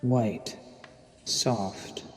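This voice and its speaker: An actor playing a sly assassin posing as a charming nobleman, narrating an audio drama in a courtly, measured, theatrical way. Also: lowish voice, fairly even intonation. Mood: brooding, calculating. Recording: long reverb, music underneath.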